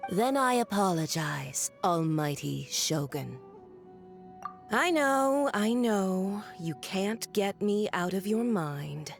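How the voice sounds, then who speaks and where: A woman's voice: mid-range voice, expressive, slow pace, vocal fry, faint music behind. A woman voicing a haughty, cruel noblewoman villain who speaks in a cold, condescending, mocking way in a video game. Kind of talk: video game cutscene acting.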